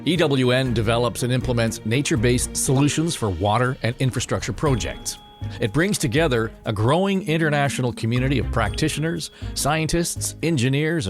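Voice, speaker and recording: A man reads a podcast's opening in a smooth, announcer-style delivery at a middle pitch. Clear guitar music plays behind him. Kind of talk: scripted intro narration.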